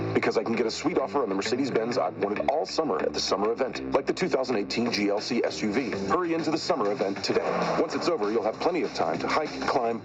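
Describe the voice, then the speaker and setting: A man's voice: fairly low pitch, muffled recording. A man narrating a scripted car commercial as a radio voice-over. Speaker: advertising voice-over. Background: music.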